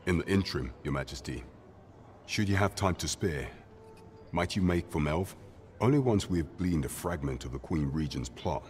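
A solemn, loyal counsellor to royalty in a fantasy game. His voice is extremely low and his address is formal and old-fashioned, over soft music. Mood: earnest, respectful. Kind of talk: scripted fantasy game acting.

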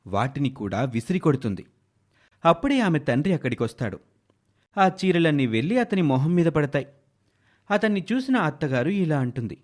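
A man's voice: on the high side, sing-song, normal speed, pauses often, a little mellow. A man narrating a scripted moral tale as a storyteller.

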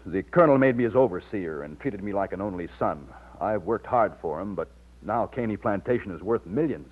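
An actor in an old radio drama playing an earnest, loyal, plain-spoken overseer from Texas. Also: male voice, fairly low pitch, lively intonation, a little fast, breathy edge. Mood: admiring, modest. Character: earnest, loyal Texan plantation overseer and war veteran. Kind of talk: old-time radio drama acting with narration. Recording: muffled lo-fi old radio recording.

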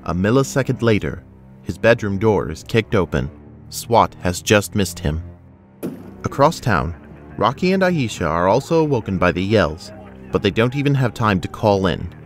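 A man's voice: lowish voice, big pitch swings, moderate pace, soft background music. A man narrating an action audio drama in a sober, scripted way.

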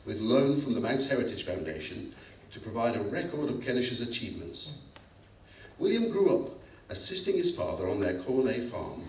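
A man's voice: fairly low pitch, a little mellow. A middle-aged man reading a scripted introduction aloud as a presenter. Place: school hall stage before an audience. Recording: echoey hall, distant microphone.

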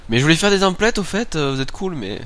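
A man's voice: a little high, sing-song, quick pace, a bit bright, dry recording. A man with a head cold giving casual, informal video game commentary, muttering to himself as he plays. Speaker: let's play host.

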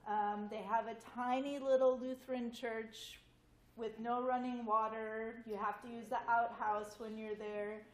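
A woman's voice: a little high, flat delivery, unhurried. An American woman in her forties, a guest speaker telling family stories informally to a group. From United States. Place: large wood-paneled community hall. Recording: roomy echo in a hall.

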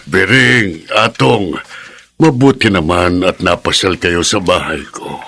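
A man's voice: deep voice, slow pace, sharp tone, soft background music, full character voice. A Filipino man playing an earnest, generous but firm elderly father in a radio drama, speaking in a paternal way. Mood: warm, apologetic. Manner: earnest, paternal, scolding when crossed.

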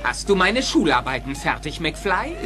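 A man's voice: fairly high pitch, very animated, normal speed, bright, forceful tone, soft background music. A man acting a menacing young bully in a film dub, mocking and taunting.

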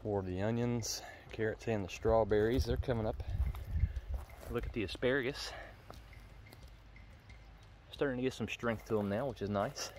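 Casual farmer, faint outdoor noise. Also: male voice, fairly low pitch, steady tone, normal speed, long pauses, darkish tone. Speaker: farmer and vlogger. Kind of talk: casual farm tour vlog. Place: outdoors on a farm, windy. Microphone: handheld phone, glove over microphone against wind. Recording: windy outdoor handheld phone recording.

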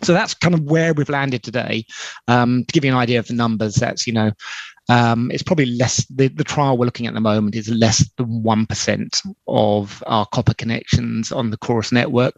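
A middle-aged British man explaining things in an interview over a video call, measured and full of ums and restarts. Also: mid-range voice, steady tone, vocal fry, no echo. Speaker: telecom company spokesperson. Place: small indoor room on a video call. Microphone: headset. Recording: dull lo-fi video call audio.

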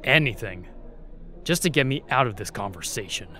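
A man's voice: mid-range voice, animated, noisy background, lightly acted. A man playing a jaded, defiant young spy at a royal court in an audio roleplay, sardonic and guarded. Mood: exasperated, resentful. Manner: sardonic, bitter, guarded, with pauses.

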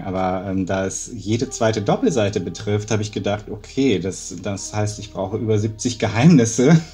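A man's voice: fairly low pitch, a little mellow. A middle-aged man, an author, telling an anecdote in an interview over a video call. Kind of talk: storytelling in an interview. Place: living room indoors, video call.

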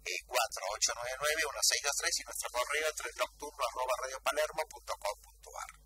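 A man with a Buenos Aires accent hosting conversational live radio, talking in a halting, reflective way. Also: medium pitch, steady tone, heavy background noise. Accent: Argentine, Buenos Aires. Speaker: radio host. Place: radio studio. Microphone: studio microphone.

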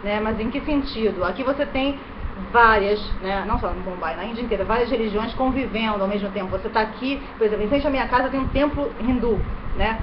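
A woman in her thirties with a Rio de Janeiro accent, chatting casually to her webcam in a bedroom, often tagging her sentences with quick questions. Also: a little high. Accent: Rio de Janeiro Brazilian Portuguese. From Brazil. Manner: chatty, tag questions, gesturing hands. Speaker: vlogger. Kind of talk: casual chat to camera. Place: bedroom indoors in India. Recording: dull webcam audio with fan noise. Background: electric fan running.

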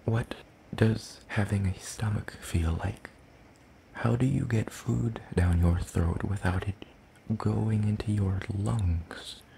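A man voicing a gentle, curious demon in a soft-spoken ASMR roleplay, speaking formally and hesitantly. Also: lowish voice, steady tone, vocal fry, slight background noise, rough recording. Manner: hesitant, formal, slow and deliberate. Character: gentle, curious, one-eyed soul-leeching demon creature.

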